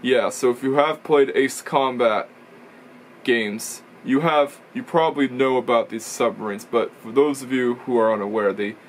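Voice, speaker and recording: A man's voice: mid-range voice, normal speed, a little hiss or hum, poor audio. Rambling young man vlogging at home. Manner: rambling, self-correcting, explanatory. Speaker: racing video reviewer. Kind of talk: casual vlog commentary. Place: small indoor room full of toy shelves. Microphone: camera or webcam in front of him.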